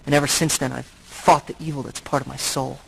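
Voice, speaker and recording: An actor playing a brooding, tormented but noble centuries-old vampire in a video game, speaking in a grave, halting, earnest way. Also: male voice, medium pitch, flat delivery, vocal fry, a bit bright, breathy edge, heavily acted, low-quality recording. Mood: pained, confessional.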